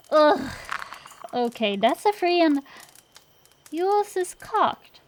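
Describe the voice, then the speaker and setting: Brash tomboy dragon girl played by a woman, highish voice, unhurried, teasing and secretly fond, for fantasy ASMR roleplay. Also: very animated, heavily acted. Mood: grumbling, bantering. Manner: teasing, blustering, easily flustered. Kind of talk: intimate roleplay ASMR audio drama.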